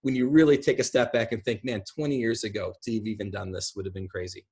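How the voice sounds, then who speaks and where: Husky-voiced man in his forties. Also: lowish voice, fairly even intonation, fast talker, a little mellow. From small rural town. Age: 40s. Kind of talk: casual discussion on a video call. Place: small room at home, indoors. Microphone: computer on a video call.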